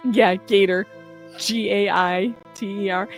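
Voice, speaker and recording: A woman's voice: mid-range voice, fairly even intonation, takes it slowly, soft background music. A woman reading out scripted, sarcastic commentary in a mocking, theatrical manner. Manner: often laughs while reading. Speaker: host reading an open letter.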